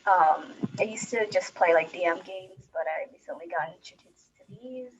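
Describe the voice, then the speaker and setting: A woman's voice: lowish voice, normal speed. Woman, hesitant rambling intro, dull call audio with light background noise. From Ohio, US. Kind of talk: casual self-introduction. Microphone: video call.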